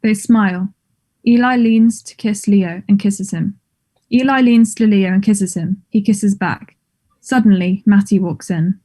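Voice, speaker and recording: A woman's voice: mid-range voice, unhurried, mellow. A woman reading stage directions as scripted narration.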